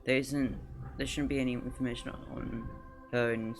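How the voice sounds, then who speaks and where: A man playing an earnest young male traveler in a video game, speaking with hesitant pauses. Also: mid-range voice, flat delivery, over loud music, natural voice. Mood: shaken, urgent.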